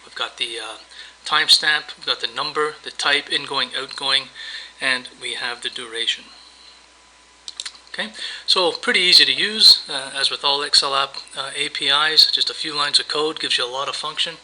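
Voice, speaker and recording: A man presenting a software tutorial, narrating a screen demo in a casual, explanatory, step-by-step way. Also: mid-range voice, normal speed, poor audio. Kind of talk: screencast demo narration, semi-improvised walkthrough.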